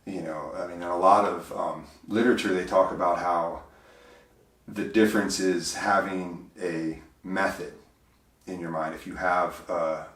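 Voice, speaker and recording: Big low-voiced guy in an interview, lots of um and you know, kinda far from the mic in an echoey room. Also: fairly even intonation, a little slow, a bit bright. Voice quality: big deep voice. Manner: hesitant, full of fillers and restarts. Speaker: interview guest. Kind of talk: reflective interview talk. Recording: roomy, voice from off mic.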